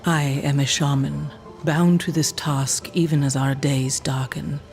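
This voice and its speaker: A woman playing a solemn old shaman of a dying giant race in a fantasy game, speaking in a measured, mystical way. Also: deep voice, fairly even intonation, a little mellow, soft background music. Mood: ominous. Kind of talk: dark fantasy game acting.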